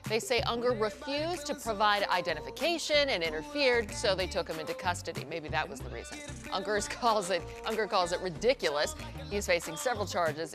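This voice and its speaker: An American woman reads television news in a conversational anchor style. Her pitch is average for a woman, and she moves easily between scripted copy and informal remarks. There is some music in the sound. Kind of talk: newscast reading with informal co-anchor banter.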